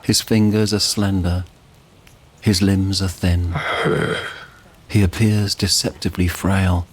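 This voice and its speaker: A male narrator reads fantasy drama in a low, rough-edged voice. His delivery is slow, measured and solemn. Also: level tone, rough recording.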